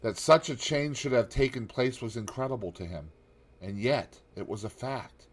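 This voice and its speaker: A man reading a classic novel aloud in measured narration, dropping low and soft on grave lines. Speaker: audiobook narrator.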